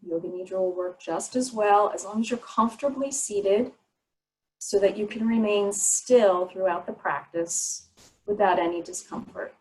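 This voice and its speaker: Female meditation guide, medium pitch, soothing and unhurried, pausing between short instructions. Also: a little mellow, poor audio. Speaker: yoga nidra meditation teacher.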